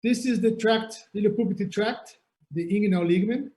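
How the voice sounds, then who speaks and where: A man with a Brazilian Portuguese accent lectures on anatomy over a video call, his voice a little high and soft-edged. He speaks haltingly, stumbling and repeating words as he searches for his English. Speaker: surgeon lecturer. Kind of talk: online lecture.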